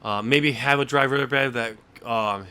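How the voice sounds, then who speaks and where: Bright-voiced man, halting casual talker. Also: medium pitch, clean tone. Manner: halting, often restarting sentences mid-thought. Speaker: podcast host and novelist. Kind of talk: casual book discussion.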